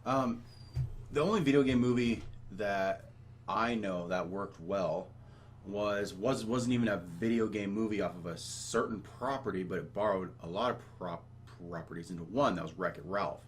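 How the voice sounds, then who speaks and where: Deep voiced young american dude on a podcast, kinda hesitant casual talk, slight hum behind him. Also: male voice, normal speed. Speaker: podcast co-host. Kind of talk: casual chat.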